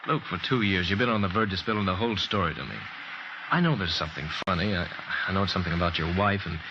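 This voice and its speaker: An actor playing a chatty, wisecracking American airfield radio operator who longs for home, in an old-time radio drama. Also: male voice, deep voice, fast, natural voice. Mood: probing, concerned. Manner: slangy, wisecracking, exuberant. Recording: noisy, dull old radio recording.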